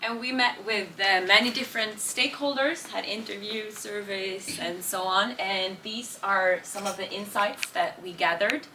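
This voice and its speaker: A woman from Stockholm, a student presenting project findings calmly and in a friendly way. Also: mid-range voice, a little slow, hardly any pauses. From Stockholm, Sweden. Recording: distant mic with clear noise.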